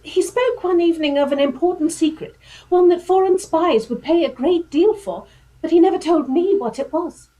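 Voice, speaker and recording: A woman's voice: very high voice, moderate pace, warm tone, low-quality recording. A woman acting a grieving, loyal young lady in a radio drama, earnest and formal. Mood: hesitant, confiding.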